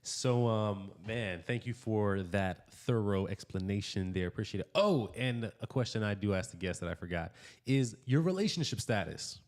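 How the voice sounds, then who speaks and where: A man's voice: fairly low pitch, animated, moderate pace, darkish tone. A man hosting a podcast, upbeat and given to repeating affirmations as he introduces and questions his guest. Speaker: podcast host. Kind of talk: podcast interview.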